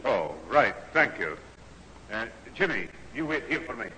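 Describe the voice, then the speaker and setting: An actor playing an indignant, excitable old grandfather with a husky voice in a 1970s radio sitcom. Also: medium pitch, unhurried, a little hiss or hum. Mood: brisk. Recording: reverberant old radio studio recording.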